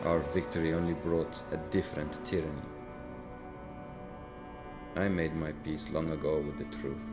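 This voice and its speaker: A haunted Soviet lieutenant. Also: male voice, very deep voice, flat delivery, normal speed, over loud music. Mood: somber. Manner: grave, reflective storytelling. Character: weary, guilt-haunted male Soviet lieutenant. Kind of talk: video game cutscene voice acting. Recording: voice over loud orchestral score.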